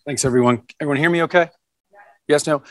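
A man with a loud voice presenting at a conference. He talks in a hurry and keeps things moving with fillers and "right?". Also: fairly high pitch, fast, no echo, clean studio sound. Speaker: conference presenter and session moderator. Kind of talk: conference talk. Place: conference session room. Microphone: microphone.

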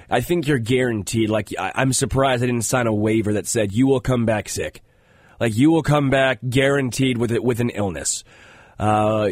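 A man's voice: mid-range voice, no room sound. Congested radio guy hosting sports talk, dry booth sound, lots of ums. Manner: earnest, halting with fillers. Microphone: studio microphone.

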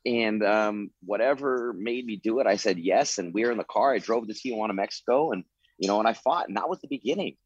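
Husky man in his thirties, lo-fi. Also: lowish voice, fairly quick, no echo. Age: 30s. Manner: earnest, frequent "you know" fillers. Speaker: former fighter turned coach. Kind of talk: interview answers, reflective storytelling.

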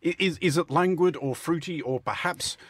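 A man's voice: on the high side, normal speed, no room sound. A man co-hosting a radio show, teasing his colleague in dry, playful banter and tripping over his words now and then. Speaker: radio host. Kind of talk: casual studio banter.

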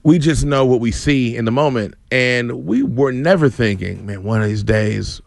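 Lively, laughing man on morning radio. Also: mid-range voice, moderate pace, vocal fry, dry recording, studio quality. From Boston. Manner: animated storyteller, laughs often. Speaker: comedian guest. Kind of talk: casual radio chat.

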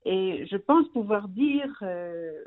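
Phone-quality middle-aged woman from belgium, interview guest, hesitant, restarts a lot, normal pitch. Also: animated, takes it slowly. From Brussels, Belgium. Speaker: magazine founder, interview guest. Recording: thin, narrow phone line.

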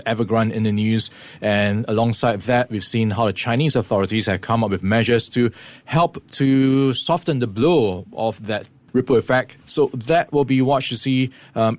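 A man presenting a morning business news briefing, explaining the week's events in a steady way with occasional fillers. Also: mid-range voice, no room sound. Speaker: radio presenter.

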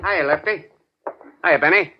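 An actor playing a bullying, domineering small-time crime boss in a 1940s radio drama. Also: male voice, on the high side, unhurried, highs cut off, slight background noise, lightly acted. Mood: needling, curt. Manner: needling, domineering, menacing. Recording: vintage 1940s radio broadcast.

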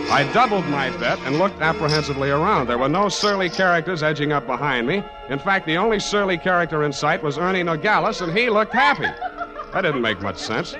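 An actor playing a wry, tough private detective in 1940s radio drama style, with clipped deadpan delivery. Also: male voice, a little high, natural voice. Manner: wisecracking, deadpan, clipped hard-boiled delivery. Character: wry, tough male private detective narrating his case.

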